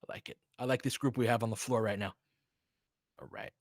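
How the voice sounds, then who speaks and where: A man's voice: mid-range voice, steady tone, brisk, no room sound, clean studio sound. A man giving live basketball play-by-play with informal, slangy fan reactions mixed into casual chat. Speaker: host of a fan play-by-play stream.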